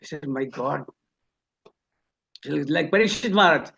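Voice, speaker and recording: A man's voice: on the high side, lively intonation, moderate pace, creaky, a bit bright, breathy edge. A man from India with an Indian accent gives a memorial tribute, telling personal anecdotes and acting out both sides of conversations, on a lo-fi recording. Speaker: devotee giving a memorial tribute. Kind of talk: spoken eulogy with personal anecdotes. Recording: dull lo-fi speech recording.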